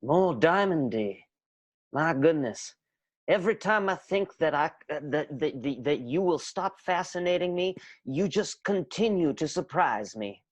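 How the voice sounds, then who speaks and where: An actor playing a smitten, hammy strongman suitor, halting and flustered, in an improvised comic radio soap. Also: fairly high pitch, expressive.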